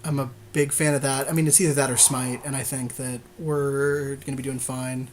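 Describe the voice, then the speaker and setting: A man's voice: mid-range voice, flat delivery, moderate pace, a little hiss or hum. A man co-hosting a podcast with casual, slangy game commentary, swearing offhandedly. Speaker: podcast co-host.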